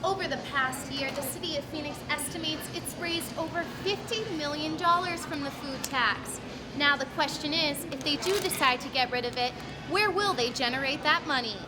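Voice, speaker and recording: A woman in her twenties reading a scripted news report as a student reporter, with clear background noise. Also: sits high, normal speed. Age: 20s.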